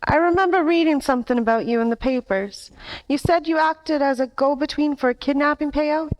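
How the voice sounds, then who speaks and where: Noir parody nightclub singer, female actor: high voice, jittery, pleading, faltering helpless-dame delivery. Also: a little fast, slight background noise, natural voice, rough recording. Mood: earnest. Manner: hesitant, imploring. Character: nervous, pleading female nightclub singer, a femme fatale client. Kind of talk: 1940s radio noir parody acting.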